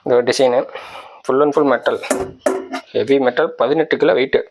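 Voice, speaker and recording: A man's voice: medium pitch, a little slow, noisy background. A man giving a casual product walkthrough, explaining step by step and often checking that listeners follow. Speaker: tech channel presenter.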